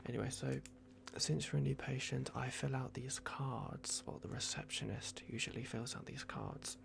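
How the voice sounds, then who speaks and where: A man speaks in a whispery hush with a lot of vocal fry. He is doing an ASMR roleplay as a courteous, flustered vampire clinic worker. His delivery is hesitant and apologetic. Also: medium pitch, fairly even intonation, normal speed.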